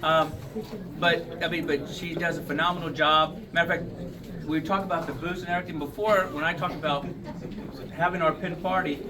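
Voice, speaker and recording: A man's voice: a little high, level tone, brisk, crowd noise. A man emceeing a party, introducing the next speaker in a jovial way and joking about himself. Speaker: event emcee. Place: indoor event hall. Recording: distant mic in a noisy crowded hall.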